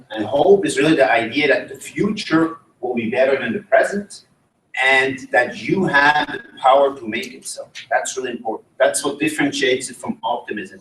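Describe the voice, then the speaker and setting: A man from Switzerland gives an academic talk at a conference and turns to the audience with questions. His voice sits at an ordinary middle pitch. It is picked up from some distance in a roomy, echoing hall. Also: fairly bright tone. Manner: engaging, asks the audience questions. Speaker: conference presenter. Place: conference room.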